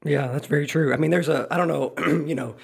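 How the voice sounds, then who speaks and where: A man hosting a podcast interview while losing his voice, talking in a rambling, friendly way and clearing his throat. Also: on the high side, a little fast. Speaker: podcast host, real estate investor. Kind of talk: casual interview conversation.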